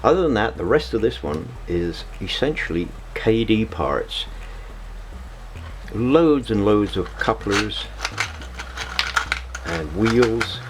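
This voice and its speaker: Low-voiced man, halting, noisy recording. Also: big pitch swings. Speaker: hobbyist vlog host. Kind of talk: casual unscripted show-and-tell narration.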